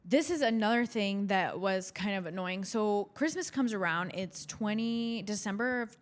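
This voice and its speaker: A woman's voice: medium pitch, moderate pace. A young adult woman from Chile co-hosting a podcast, telling personal stories in a casual, conversational way. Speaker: podcast co-host.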